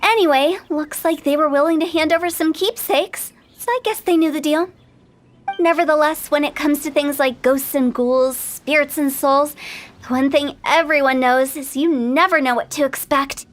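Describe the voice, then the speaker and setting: A woman's voice: sits high, normal speed, slight background noise, lightly acted. An actress voicing a playful, mischievous young female funeral parlor director in a video game, teasing and lilting.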